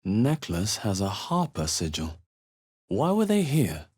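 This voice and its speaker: Husky, wary fantasy adventurer. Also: male voice, fairly low pitch, huge pitch swings, takes it slowly, darkish tone, heavily acted. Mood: curious, musing. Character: adult male fantasy adventurer hero, wary, dry and observant. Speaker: video game player character. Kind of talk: video game voice lines, short reactive remarks.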